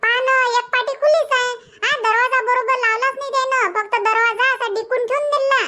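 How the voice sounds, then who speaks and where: An excitable young cartoon village wife with a piercingly high, childlike voice. Her tone is bright and sharp, and she nags and complains in a Varhadi Marathi accent. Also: fairly quick. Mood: indignant, nagging. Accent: Varhadi Marathi from Vidarbha. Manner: nagging, grumbling, exclamatory. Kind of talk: Marathi cartoon comedy dubbing.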